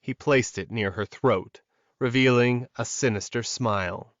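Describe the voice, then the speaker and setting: A man's voice: medium pitch, measured pace, no room sound. A man reading scripted dramatic fiction as an audiobook narrator, recorded close in a dry space with a slightly dull sound.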